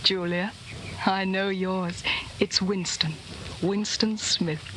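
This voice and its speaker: An actress playing a bold, rebellious young woman and secret lover in 1940s radio drama style, brisk and conspiratorial. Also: mid-range voice, steady tone, fairly bright tone. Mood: tender, relieved. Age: young adult. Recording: noisy old 1940s radio recording.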